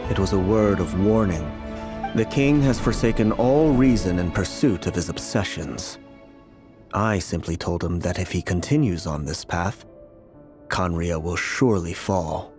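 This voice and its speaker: An actor playing a solemn, fatalistic blinded prophet in a fantasy video game, speaking in a grave, formal, oracular manner. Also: male voice, deep voice, unhurried, music in the background. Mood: bitter, grave.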